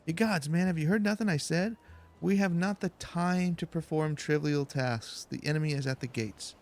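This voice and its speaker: A man voices a solemn warrior from a fantasy game, speaking in formal, dramatic lines with real urgency. The pitch is raised, with a creaky edge, over faint music. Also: moderate pace, close to an everyday voice. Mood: indignant. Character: indignant, solemn fantasy warrior fretting over looming war. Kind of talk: fantasy game dialogue read aloud.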